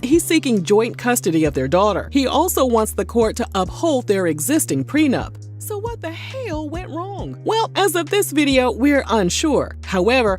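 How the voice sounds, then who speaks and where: A woman narrating a celebrity gossip video from a script and breaking in with sassy, snarky ad-libbed asides. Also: on the high side.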